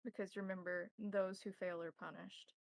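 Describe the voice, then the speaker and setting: A woman narrating a tabletop role-playing game as game master, in measured, formal wording. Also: mid-range voice, level tone, creaky, no echo.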